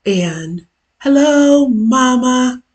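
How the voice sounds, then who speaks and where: Hoarse woman chatting slowly on a stream. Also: high voice, animated, darkish tone. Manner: chatty, self-deprecating asides. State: hoarse, lost voice from illness. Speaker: live-stream host. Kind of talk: casual live stream chat. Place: at work on a lunch break. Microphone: camera mic.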